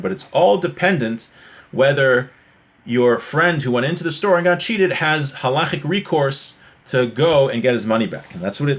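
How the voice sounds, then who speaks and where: A man's voice: mid-range voice, breathy edge. A man teaching a religious class informally, reading from a text and explaining it conversationally with everyday examples.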